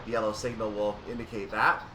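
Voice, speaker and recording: A man's voice: quick pace, far from the mic. A man giving unscripted commentary as he plays a train simulator, thinking aloud and explaining each step. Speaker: gaming video host. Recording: roomy, off-mic, steady hum and rumble.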